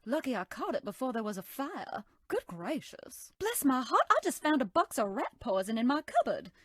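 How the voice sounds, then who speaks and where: Flirty southern belle baker in a game, drawly and coy, bouncy highish voice, dry close mic. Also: female voice, sing-song, brisk, a bit bright, dry recording, heavily acted. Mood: uneasy. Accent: American Southern. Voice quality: sultry drawl, coy and teasing. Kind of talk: video game character voice lines.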